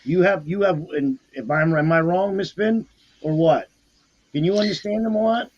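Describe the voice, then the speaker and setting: A man's voice: sits high, normal speed, a little mellow, slight background noise. A man with a New York accent bantering bluntly with friends on a live stream. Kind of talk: casual chat. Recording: muffled lo-fi audio.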